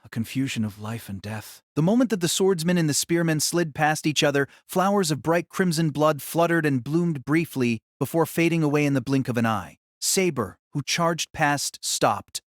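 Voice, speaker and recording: A man tells a fantasy story in a steady, even narration. His voice is a touch high and the sound is dry and close, with no room around it. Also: normal speed, hardly any pauses, no echo. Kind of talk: scripted audiobook narration.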